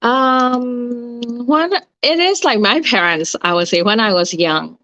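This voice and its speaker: A woman's voice: a little high, slow pace, sharp tone, dry recording. A woman, chatting as an interview guest and searching for words with many fillers. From Myanmar. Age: 30s. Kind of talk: casual interview chat. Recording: dull, slightly lo-fi sound.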